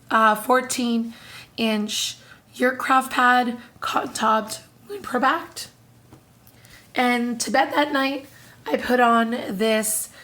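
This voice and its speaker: A woman in her thirties with a hoarse, husky voice, still recovering from losing it, chatting casually to camera with lots of fillers. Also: fairly high pitch, a bit bright. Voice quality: husky, strained. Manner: chatty, apologetic, many fillers. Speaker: vlogger. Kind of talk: casual monthly haul-style chat to camera.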